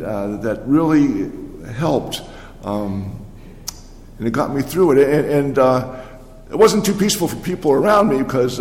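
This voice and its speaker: An older man speaking as a pastor, giving a folksy, conversational sermon with halting, repeated words in a large reverberant hall. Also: on the high side, a little mellow. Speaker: pastor preaching. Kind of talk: sermon with folksy personal storytelling. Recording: echoey hall with long reverb.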